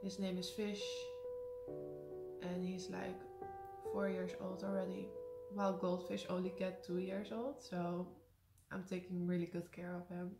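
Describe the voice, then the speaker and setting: A young Dutch woman with a high voice and a Dutch accent, chatting casually through a Q&A, laughing often and slipping in sarcastic asides. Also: flat delivery, measured pace, music in the background. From Netherlands. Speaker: vlogger. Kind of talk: casual Q&A to camera. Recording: echoey room.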